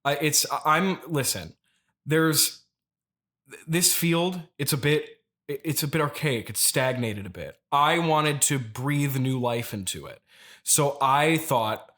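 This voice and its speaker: A man playing an earnest, rambling turnip farmer in an improvised mock interview, halting, evasive and repeating himself as he goes. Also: on the high side, bright, forceful tone.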